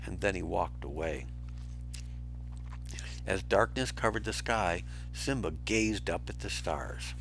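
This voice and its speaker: A man reading a children's story aloud in an expressive, deliberate, dramatic way, as a teacher does for pupils. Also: deep voice, faint music behind, rough recording.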